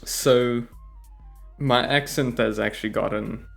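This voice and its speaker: Podcast co-host, South African man, Afrikaans native; slow, hesitant delivery with a rough, gravelly edge. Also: mid-range voice, fairly even intonation, faint music behind. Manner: hesitant, laughs often. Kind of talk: casual chat. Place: living in America.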